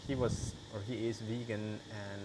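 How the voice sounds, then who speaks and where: A man, an English speaker, talking casually as an interview guest, loose and relaxed, full of "like" and "you know". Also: lowish voice, normal speed, background noise. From Switzerland. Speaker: guest, vegan cheese maker.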